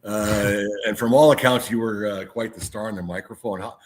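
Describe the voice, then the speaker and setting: A man's voice: fairly low pitch, fairly even intonation, moderate pace. A man hosting a casual podcast interview, putting questions in a rambling, jokey, conversational way. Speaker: podcast host interviewing an athlete.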